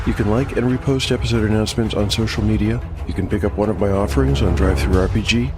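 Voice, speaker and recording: Low-voiced male storyteller over music. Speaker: narrator and host. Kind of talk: scripted dark fantasy narration.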